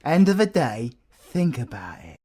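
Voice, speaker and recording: A man's voice: medium pitch, big pitch swings, normal speed, dark tone. A British man voicing a flirty, egotistical, caffeine-wired supervillain in an audio roleplay, boastful and cheeky. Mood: cheeky, persuading. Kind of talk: audio roleplay character acting.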